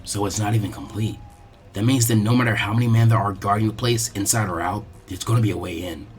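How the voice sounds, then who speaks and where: Man acting a young male ninja tactician, dry, analytical, reluctant, low-pitched, faint music behind. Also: steady tone, fast, lightly acted. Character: laconic, analytical teenage boy ninja strategist.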